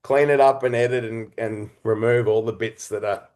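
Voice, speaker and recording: A man speaking as an interview guest, a writer and creator talking reflectively and expressively in halting, conversational phrases. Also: fairly low pitch, fairly even intonation, darkish tone.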